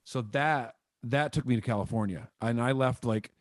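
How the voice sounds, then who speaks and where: A man in his thirties, a musician from Michigan, telling stories in a casual interview, rambling and animated, speaking into a studio mic at home. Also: mid-range voice, normal speed, no room sound. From Michigan, USA. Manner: animated, rambling, lots of "like" fillers and gestures. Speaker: guest musician. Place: home room, indoors. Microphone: studio mic on boom arm, video call.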